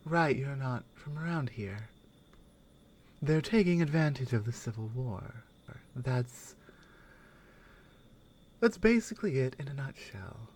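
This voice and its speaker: A woman playing a strong, wry, skeptical Nordic warrior companion in a soft, intimate ASMR roleplay, musing with pauses and murmured asides. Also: rumbling low, breathy edge, heavily acted.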